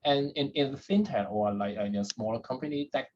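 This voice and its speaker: A man from Hong Kong, a podcast guest, who answers in a halting way and repeats words as he gathers his thoughts. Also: medium pitch, a little mellow, dull audio, slight background noise. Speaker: guest, head of data at a startup.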